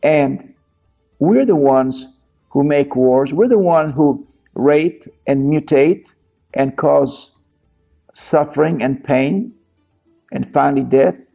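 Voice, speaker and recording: Brief: high-pitched, mellow-toned man from Israel, earnest preacher, music faint behind. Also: vocal fry. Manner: slow, earnest, reads scripture aloud. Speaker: teacher of scripture. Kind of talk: sermon with scripture reading.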